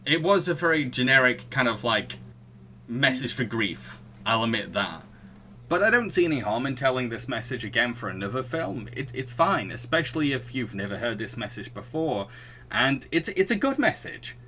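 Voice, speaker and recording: A man's voice: medium pitch, sing-song, normal speed, sharp tone, slight background noise. A British man hosting a podcast, chatting casually and laughing often. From United Kingdom. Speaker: podcast host. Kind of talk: casual chat.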